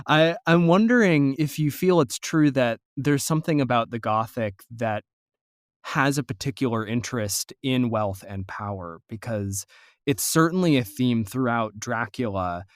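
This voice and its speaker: A man hosting an interview, speaking conversationally in a reflective, measured way. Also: mid-range voice, no echo, studio quality. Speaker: podcast host, interviewer.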